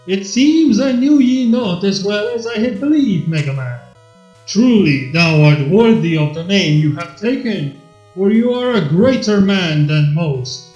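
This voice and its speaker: A man's voice: sits high, expressive, a little slow, a little mellow, reverberant. A man voicing a theatrical, honourable robot villain in a fan story, declaiming pompously in archaic, grandiose speech. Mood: grand, admiring. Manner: pompous, declamatory Shakespearean delivery. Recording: dull lo-fi with background music.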